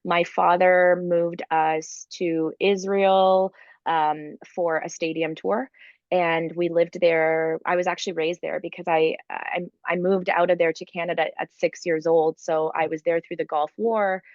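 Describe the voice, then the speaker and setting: A woman's voice: on the low side, little pitch movement, darkish tone, dry recording, muffled recording. A woman from Ukraine, in her thirties, talking casually and eagerly as an interview guest, with many "like"s and fillers. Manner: fast, filler-heavy, enthusiastic with many "like"s. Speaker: guest entrepreneur. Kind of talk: conversational interview.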